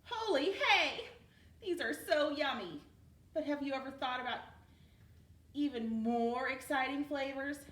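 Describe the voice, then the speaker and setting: Woman playing an excitable, lively horse with a secret. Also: sits high, measured pace, long pauses, voice pushed up. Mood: excited. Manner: exclaiming, gushing. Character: excitable, eager, kind-hearted male storybook horse secretly a unicorn. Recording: roomy, distant mic with background noise.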